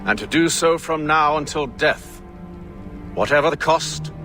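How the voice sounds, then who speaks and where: An actor playing a formal, solemn and authoritative Templar leader in a dramatic video game. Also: male voice, on the high side, unhurried, over music, natural voice. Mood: solemn, ceremonial. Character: grave, commanding Templar leader, adult man.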